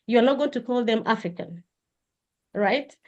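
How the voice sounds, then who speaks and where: A woman's voice: on the high side, expressive. Earnest Congolese woman on a muffled call. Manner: earnest, halting with many fillers. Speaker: panelist. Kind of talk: panel discussion on a call.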